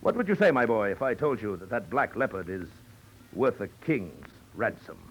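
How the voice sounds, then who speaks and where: An actor playing a pompous, suave big-game hunter villain with florid, mock-courteous speech, in 1940s radio drama style. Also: male voice, fairly low pitch, sing-song, normal speed, husky. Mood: insistent. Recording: noisy old 1940s radio recording.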